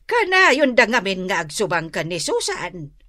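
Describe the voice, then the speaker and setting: A woman's voice: mid-range voice, wildly swooping pitch, moderate pace, pushed and bright, dry recording, clean studio sound. An actress playing an older village mother in an Ilocano radio drama, scolding and advising in an emphatic, exclaiming way.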